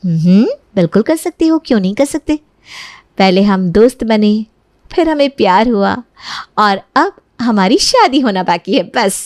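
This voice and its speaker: A woman's voice: medium pitch, expressive, measured pace, darkish tone, no echo, studio quality, close to an everyday voice. A woman voicing a pleading, lovelorn young woman in her twenties in a cartoon family story. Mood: playful, loving. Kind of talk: cartoon moral-story dialogue.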